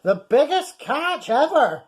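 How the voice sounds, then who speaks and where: A woman acts out a storybook trawler skipper whose voice jumps far up high and loud. He is smug about his catch, then yelps in fright, with big swings in pitch. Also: sing-song, takes it slowly, heavily acted. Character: boastful then panicky male trawler skipper.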